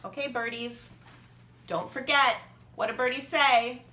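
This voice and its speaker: A woman reads a storybook to young children as their teacher. Her voice is bright and a little high, with expressive, deliberate emphasis. The room is echoey, with faint noise behind her. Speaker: preschool teacher.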